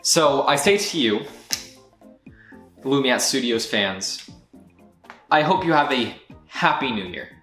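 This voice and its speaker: A man's voice: medium pitch, animated, slow pace, long pauses, a bit bright, soft background music. Earnest man in a roomy, echoing space. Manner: earnest, firm and direct. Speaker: studio founder. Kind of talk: year-end recap monologue. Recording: roomy echo.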